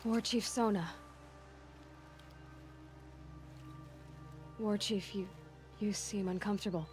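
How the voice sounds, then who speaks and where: A woman's voice: mid-range voice, steady tone, moderate pace, darkish tone, soft background music, lightly acted. A woman playing a modest young warrior heroine in a video game, speaking plainly with understated, dry kindness. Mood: concerned. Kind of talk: dramatic video game dialogue.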